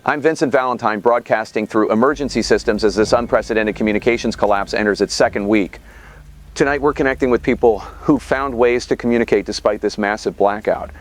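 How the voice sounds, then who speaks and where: A man's voice: on the low side, brisk, natural voice. A man playing a grave late-night radio host broadcasting during a crisis in an audio drama, serious and formal. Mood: grave, urgent. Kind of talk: scripted radio host narration.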